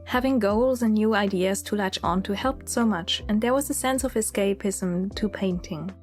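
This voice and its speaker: A woman's voice: medium pitch, moderate pace, soft background music. A woman in her thirties with a Swiss German accent, narrating her story in a candid, chatty, reflective voice-over. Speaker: illustrator and channel host.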